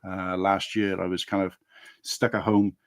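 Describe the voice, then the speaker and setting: A man's voice: low-pitched, flat delivery, measured pace, no echo, clean studio sound. A man from Wales with a Welsh accent, chatting casually as a guest on a livestream, hesitant and rambling. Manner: rambling, hesitant with fillers and restarts.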